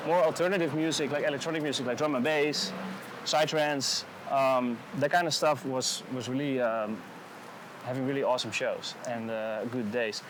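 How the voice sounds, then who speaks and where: A man with a Dutch accent speaks at a middling pitch, easygoing and unhurried. He often pauses to find the right English word. He is walking outdoors along a sunny street, with faint noise around him. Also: fairly even intonation. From Netherlands. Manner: laid-back, unhurried, searching for words. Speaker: music producer, guest. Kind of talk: casual interview chat.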